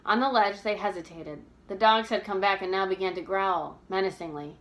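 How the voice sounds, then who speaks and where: A woman's voice: medium pitch, moderate pace, fairly bright tone, rough recording. Woman narrating a novel aloud. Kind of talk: expressive storybook narration.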